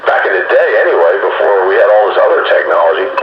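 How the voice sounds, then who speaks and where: A man's voice: low voice, expressive, fast talker, highs cut off. A middle-aged man chatting casually in a group over a noisy, boxy radio link with echo.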